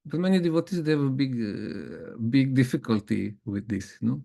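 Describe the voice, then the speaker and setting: Hey so a guy giving a relaxed spiritual talk, medium pitched voice, chatty, keeps tacking on little "no?" questions. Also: normal speed, gravelly. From Germany. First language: Bosnian. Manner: conversational, chuckles often, frequent tag questions. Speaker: spiritual teacher.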